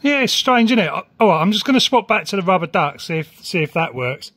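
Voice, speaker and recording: Middle-aged man, southern English, slightly high-pitched voice, informal and chatty hobbyist vlogger. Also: sing-song, fast, fairly bright tone. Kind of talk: casual vlog chat and radio calls. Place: house and back garden.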